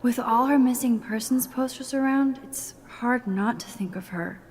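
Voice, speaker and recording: An actress playing a shy, caring young student in a video game, in a naturalistic style. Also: female voice, a little high, normal speed, a little mellow, natural voice. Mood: worried, earnest. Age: young adult. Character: earnest young female student, quietly caring, a bit shy.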